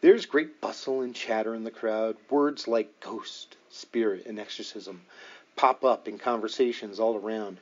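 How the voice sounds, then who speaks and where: A man's voice: on the low side, measured pace. Man reading an audiobook, slightly muffled sound. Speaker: audiobook reader. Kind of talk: scripted narration of a nonfiction book.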